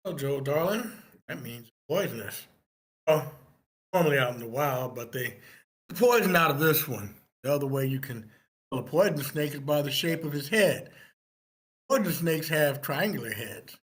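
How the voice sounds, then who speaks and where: A man playing a doting, studious man of thirty-two who gently explains things to a child at a script table read. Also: a little high, lively intonation, moderate pace, lightly acted. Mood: patient, explaining.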